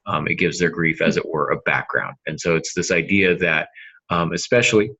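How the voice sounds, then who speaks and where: A man's voice: low voice, normal speed, sharp tone, breathy edge, highs cut off. A middle-aged man co-hosting a podcast, discussing fiction craft conversationally and emphatically, repeating phrases to make his point. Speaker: podcast co-host, novelist.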